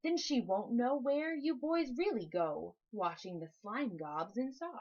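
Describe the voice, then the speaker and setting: A woman voicing a giant, bossy monster in a children's storybook, boasting loudly and emphatically. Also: a little high, lively intonation, lo-fi sound, a little hiss or hum. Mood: booming, boastful. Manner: loud, emphatic, drawn-out boasting.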